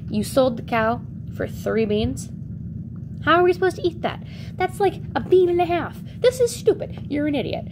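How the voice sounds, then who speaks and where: A woman's voice: high voice, sing-song, normal speed, noisy background, voice pushed up. A woman voicing a poor, exasperated farm mother with a blunt way of talking, in an amateur fairy tale telling. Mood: exasperated, scolding. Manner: blunt, scolding.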